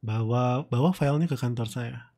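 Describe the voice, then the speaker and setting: Man playing a breathy, mellow, flirtatious boss. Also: mid-range voice, natural voice. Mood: coaxing, flirtatious.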